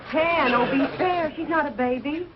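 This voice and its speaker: A middle-aged woman playing a sensible, protective American housewife and mother in a 1940s television sitcom, brisk, firm and mildly exasperated. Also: sits high, lightly acted. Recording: dull, hissy old television soundtrack.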